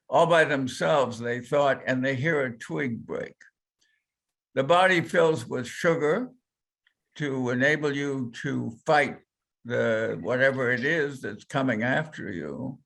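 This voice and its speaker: An older man speaking as a panelist, telling a personal story in a slow, deliberate way. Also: fairly high pitch, gravelly, a bit bright. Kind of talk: panel discussion remarks.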